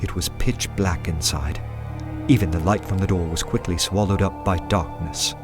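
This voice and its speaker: A man playing an earnest detective who narrates his undercover adventure in a measured, literary radio play style. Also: low-pitched, steady tone, music in the background, lightly acted. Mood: brooding, dramatic. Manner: measured, literary, dramatic storytelling. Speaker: narrator and lead character.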